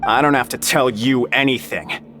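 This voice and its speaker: A man playing a wary, gruff but devoted prison crew member in a video game. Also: medium pitch, moderate pace, over loud music, natural voice. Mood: wary, defiant.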